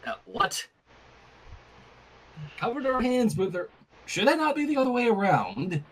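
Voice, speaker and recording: A fan fiction reader narrating a story with casual, irreverent asides in an amused, expressive way. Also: medium pitch, measured pace, husky, low-quality recording.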